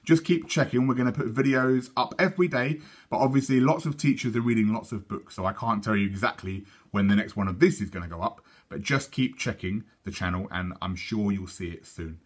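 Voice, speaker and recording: A man reading a children's story aloud like a teacher, in a measured, expressive way. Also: fairly low pitch, breathy edge. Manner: measured, animated.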